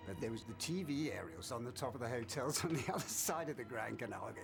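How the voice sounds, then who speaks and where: A man's voice: medium pitch, animated, fast talker, vocal fry, music in the background. A man, a film crew member telling a production anecdote. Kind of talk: interview anecdote.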